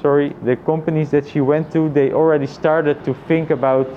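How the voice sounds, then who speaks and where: A man's voice: fairly high pitch, little pitch movement. Man vlogging over dull, noisy lo-fi audio. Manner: halting, thinking aloud with repeated phrases. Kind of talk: casual reflective monologue, personal vlog.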